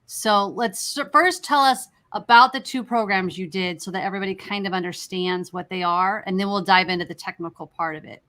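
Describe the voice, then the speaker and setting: A woman's voice: medium pitch, brisk, few pauses, sharp tone. A woman hosting a casual interview, talking in a conversational way as she puts questions to guests. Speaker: interview host.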